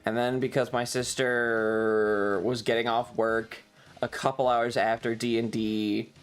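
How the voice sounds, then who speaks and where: A man's voice: mid-range voice, little pitch movement, a little slow, clear, resonant tone. A man chatting casually as a livestream host, sweary and self-deprecating, sounding slightly tired. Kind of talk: casual rambling stream chat.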